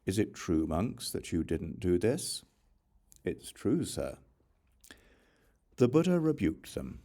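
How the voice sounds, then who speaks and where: A man reading scripture as an audiobook narrator, in a measured, formal, scripted recitation. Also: sits low, sing-song, mellow.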